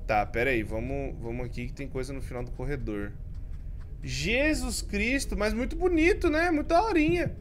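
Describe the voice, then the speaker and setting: A Brazilian man in his thirties, a streamer giving jumpy commentary as he plays a horror game, swinging from mumbles to yells. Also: on the high side, moderate pace, a little hiss or hum. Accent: Brazilian Portuguese. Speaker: gaming streamer. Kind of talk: let's play commentary. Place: home streaming room with colored lights.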